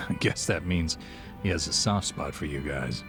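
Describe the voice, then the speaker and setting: An actor playing an easygoing middle-aged bartender with a knowing, gruff manner, in a video game dub. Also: male voice, a bass voice, unhurried, a bit bright, full character voice. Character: laid-back middle-aged male bartender. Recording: game cutscene with music behind.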